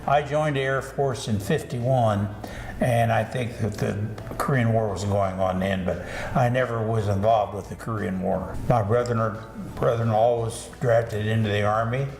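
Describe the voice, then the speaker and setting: A man's voice: fairly low pitch, fairly even intonation, normal speed, vocal fry, darkish tone, reverberant. An older man from Ohio, a retired Air Force veteran, telling his life story in a plain, matter-of-fact way. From Ohio, United States. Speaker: veteran guest.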